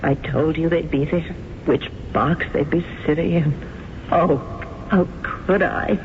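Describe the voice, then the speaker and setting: A woman's voice: sits low, steady tone, slow. An actress playing a celebrated stage actress who narrates her own story, emotional and pleading, in a 1970s radio drama. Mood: anguished. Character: celebrated stage actress, anguished and guilt-torn. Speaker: lead character and narrator. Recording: tinny lo-fi radio broadcast with hiss.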